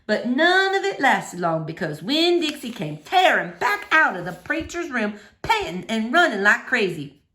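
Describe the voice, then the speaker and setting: A woman reading a children's novel aloud in an expressive, animated storytelling manner. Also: on the high side, moderate pace. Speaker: storybook reader.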